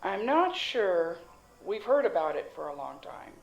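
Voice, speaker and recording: Female actor, shrewd motherly cook and secret dealer, animated intonation, folksy, teasing, audio drama, echoey room. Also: mid-range voice, a little slow, creaky, muffled recording. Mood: knowing. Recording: roomy echo, music underneath.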